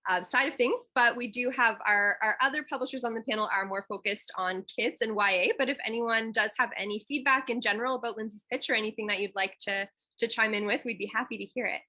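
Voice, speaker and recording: Female panel host, informal and bright, frequent fillers. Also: a little high, fast talker, hardly any pauses. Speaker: panel moderator. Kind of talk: hosting an online pitch panel.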